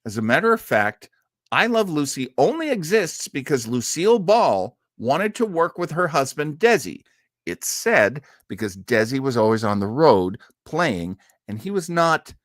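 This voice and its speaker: A man hosting a casual live talk in a chatty, halting way with wry asides. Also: mid-range voice, very animated, no room sound, clean studio sound. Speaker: host. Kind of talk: casual live-stream talk on old radio comedy.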